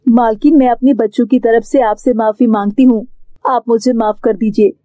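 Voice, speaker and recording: A woman voicing a humble, gentle maid and mother in a cartoon story, in a meek, motherly manner. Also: on the high side, steady tone, moderate pace, dry recording, lightly acted. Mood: apologetic.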